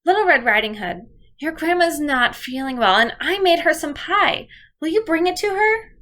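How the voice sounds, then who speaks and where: A woman's voice: sits high, animated, normal speed, pushed and bright, pitched above the performer's own voice. A woman voicing a caring, coaxing fairy-tale mother in a storytelling lesson. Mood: caring request. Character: caring mother asking her daughter a favor.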